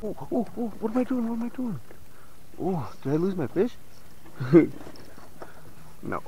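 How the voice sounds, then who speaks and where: Excitable fishing vlogger man on a lake. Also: sits high, a little slow, a little hiss or hum. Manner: excitable, talks to viewers as "you guys". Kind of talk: casual on-water fishing commentary. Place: small boat on a lake outdoors.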